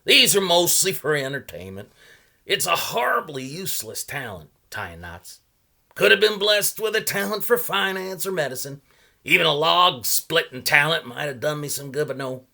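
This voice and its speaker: Odd old traveling salesman played by a male reader: bright, swooping, genial, musing storybook character. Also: on the high side, sing-song, gravelly, voice pushed up, exaggerated voice. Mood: amused. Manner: musing, folksy, halting asides.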